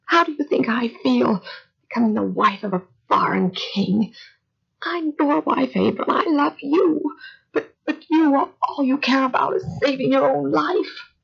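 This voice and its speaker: An actress playing a distressed young wife who speaks reproachfully, with a husky voice, in an old radio drama. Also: female voice, high-pitched, expressive, a little slow, exaggerated voice. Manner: reproachful, defiant. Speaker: Sarah. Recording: dull lo-fi old recording.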